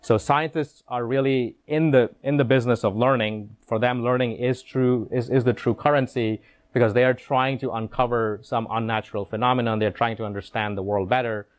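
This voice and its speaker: A man's voice: medium pitch, steady tone, brisk. A middle-aged man giving a conference keynote talk in a room with an audience, speaking into a handheld microphone, explaining ideas with frequent restarts and repeated words.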